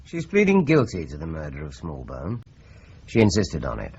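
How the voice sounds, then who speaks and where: An actor playing a calm detective who explains a murder case in a measured way. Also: male voice, extremely low pitch, husky, muffled recording, heavily acted. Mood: explaining.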